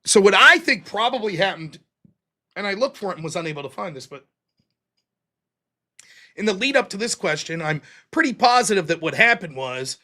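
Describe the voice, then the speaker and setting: A man's voice: high voice, big pitch swings, normal speed, pauses often, sharp tone. A man hosting a solo political commentary livestream, explaining a legal reading point by point in an argumentative, emphatic way. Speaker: livestream show host.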